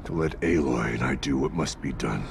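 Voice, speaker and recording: Deep, gravelly warrior chieftain. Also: male voice, normal speed, noisy background. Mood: grave resolve. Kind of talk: video game cutscene acting.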